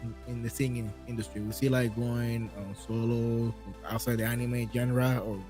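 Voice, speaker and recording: A man hosting a casual interview, speaking haltingly as he searches for his words. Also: mid-range voice, flat delivery, warm tone. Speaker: interview host. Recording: music clearly behind the voice. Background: music.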